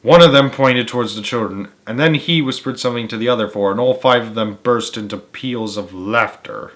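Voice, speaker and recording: A man narrates a children's story in a medium-pitched voice. His delivery is dramatic and emphatic, like an amateur audiobook read aloud. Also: a little fast, fairly bright tone.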